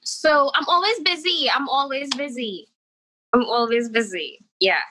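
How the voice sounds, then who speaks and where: A young woman from Trinidad introducing herself on a video call, listing facts in a measured, plain way. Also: sits high, bright, forceful tone, a little hiss or hum, rough recording. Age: young adult. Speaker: podcast guest. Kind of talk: casual self-introduction.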